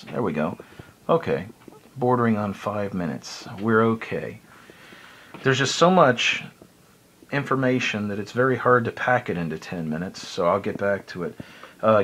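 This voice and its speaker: A man's voice: fairly low pitch, a bit bright. A man giving a casual, unscripted hobby explainer in a folksy, rambling, self-deprecating way. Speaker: hobbyist video presenter.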